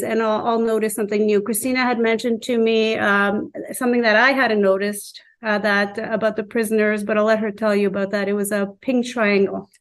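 A middle-aged woman speaking in a measured, halting and reflective way during a discussion. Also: on the high side.

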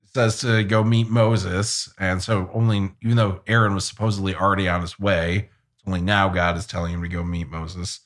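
Guy w a lowish voice chatting, loosely paraphrasing a bible story, jokey asides. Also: flat delivery, moderate pace, a bit bright. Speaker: podcast co-host. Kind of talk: casual chat retelling a Bible story.

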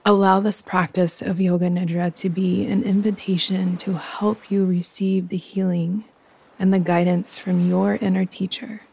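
A woman guiding a yoga nidra meditation in a soft, breathy voice, calm, soothing and unhurried. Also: fairly low pitch, low-quality recording. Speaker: meditation guide and host.

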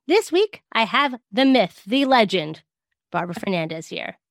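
A woman's voice: mid-range voice, very animated, moderate pace, dry recording, clean studio sound. A woman hosting a podcast who works as a speech-language pathologist, reading a scripted intro and then chatting in a welcoming way with her guest. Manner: upbeat, welcoming.

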